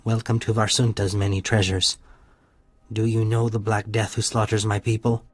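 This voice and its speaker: A man playing a grim, earnest alien helper in a video game, solemn and flat in delivery. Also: fairly low pitch, moderate pace, slightly rough, dry recording, soft background music.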